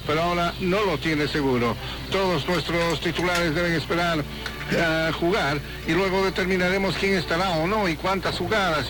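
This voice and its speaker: High-voiced male radio news announcer, music behind. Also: rough recording. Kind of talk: scripted broadcast news reading. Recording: shortwave radio broadcast with loud music.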